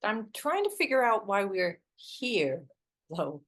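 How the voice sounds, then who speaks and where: A woman's voice: medium pitch, very animated, takes it slowly. A woman acting in a naturalistic drama as a wry, self-deprecating best friend who sighs, hesitates and teases. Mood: wry grumbling.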